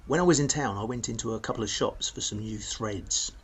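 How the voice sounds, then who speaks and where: A man's voice: fairly low pitch, a little fast, husky. An actor with a British accent playing a self-satisfied, penny-pinching money-saving vlogger who chats matter-of-factly to his viewers in a radio play. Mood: upbeat, chatty. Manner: chatty, matter-of-fact, addressing viewers. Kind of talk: radio play monologue as a vlog.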